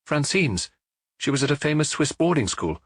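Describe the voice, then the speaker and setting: A man's voice: fairly high pitch, very animated, moderate pace, husky, no room sound, studio quality, voice pushed up. A man voicing a French police inspector who questions a suspect firmly and insistently, yet with some sympathy, in an audiobook detective story. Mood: pressing, revealing.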